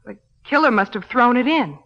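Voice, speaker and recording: A woman's voice: on the high side, animated, moderate pace. An actress playing a desperate, defensive woman suspected of murder in an old radio drama, pleading and indignant. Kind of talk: 1940s-50s radio drama acting. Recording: muffled old radio, long reverb.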